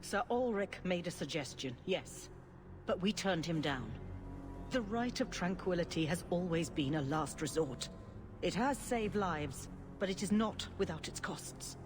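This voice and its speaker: An actress playing a dignified elderly female cleric in a video game, speaking in a stately, measured, diplomatic way. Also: lowish voice, animated, over music. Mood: grave.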